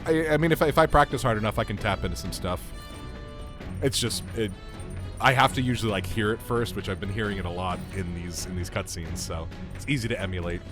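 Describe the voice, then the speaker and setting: Male streamer: low register, loose conversational rambling over clearly heard game music. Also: quick pace. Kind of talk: casual livestream gameplay chat. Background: video game music.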